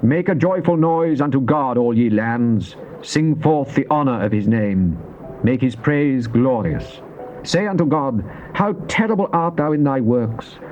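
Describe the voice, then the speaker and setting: Lo-fi tape, male scripture reader, mellow dark tone, declamatory, solemn, theatrical. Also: mid-range voice, lively intonation, measured pace. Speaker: Bible reader. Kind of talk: dramatized scripture reading. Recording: dull, lo-fi cassette with faint noise.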